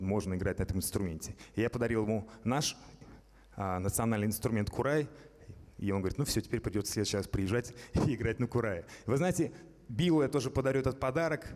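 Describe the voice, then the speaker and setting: A man with a low voice talks fast on a concert stage, rambling with frequent hesitations while he hands out gifts. His microphone rings with long hall reverb. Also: a little mellow. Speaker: festival organizer presenting gifts. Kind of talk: stage speech.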